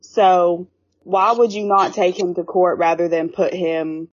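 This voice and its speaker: A woman's voice: lowish voice, fairly even intonation, unhurried, no room sound. A woman in her twenties co-hosting a casual podcast, speaking bluntly and swearing freely. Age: 20s. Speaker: podcast co-host. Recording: dull lo-fi sound.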